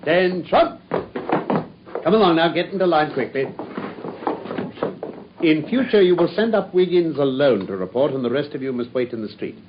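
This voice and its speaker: Guy acting brainy victorian english detective, commanding n dry, very lively pitch, old dull radio sound w faint hiss. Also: normal speed, pauses often, natural voice. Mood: brisk, commanding. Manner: assured, wry, commanding. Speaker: actor. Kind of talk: British radio drama acting. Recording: muffled radio recording with faint noise.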